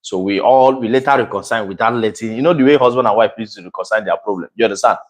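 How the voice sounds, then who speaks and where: A man chatting with his wife about marriage, loud and animated, turning to address his viewers. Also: on the low side, racing. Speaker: husband co-hosting a couple's channel. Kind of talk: casual chat.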